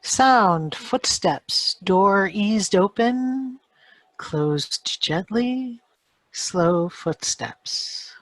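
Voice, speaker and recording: A woman's voice: medium pitch, animated, very slow, no room sound. A woman reading out sound-effect cues from a script in short, clipped announcements. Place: home, live video call performance.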